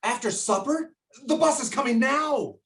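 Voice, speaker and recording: A man's voice: very high voice, animated, fairly bright tone, recorded from a distance. A man playing a bossy, greedy middle-aged shopkeeper father in a stage play, blustering and drawing out his words. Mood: bossy, impatient. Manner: blustering, emphatic, drawn-out words. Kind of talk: stage play acting over video call.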